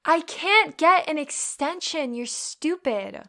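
A woman's voice: high voice, animated, takes it slowly, a bit bright, exaggerated voice. A young woman playing a scornful, bullying college woman who taunts and swears constantly. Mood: angry. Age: young adult. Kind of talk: audio roleplay.

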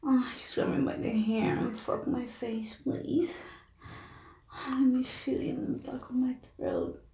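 Breathy whispering woman in echoey bathroom. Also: high-pitched, steady tone, measured pace, warm tone. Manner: breathy, often whispering, moaning. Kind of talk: intimate erotic audio monologue. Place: bathtub in a bathroom. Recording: echoey bathroom, distant mic.

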